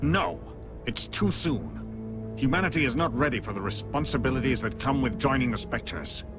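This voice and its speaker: Actor as a stern alien councilor over music. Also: male voice, mid-range voice, animated, moderate pace, close to an everyday voice. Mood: skeptical, dismissive. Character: stern male alien councilor, skeptical and authoritative. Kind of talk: video game cutscene acting.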